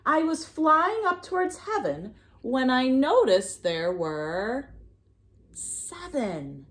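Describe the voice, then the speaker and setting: Female read-aloud narrator for young kids: unhurried, highly animated intonation, sing-song picture-book delivery. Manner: animated, sing-song, pausing to prompt listeners.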